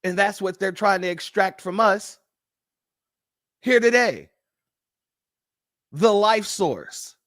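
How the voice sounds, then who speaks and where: A man's voice: sits high, animated, slow, long pauses, fairly bright tone, no echo, studio quality. A man hosting a livestream, delivering fiery, emphatic and profane preaching-style commentary and thanking donors by name. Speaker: livestream host.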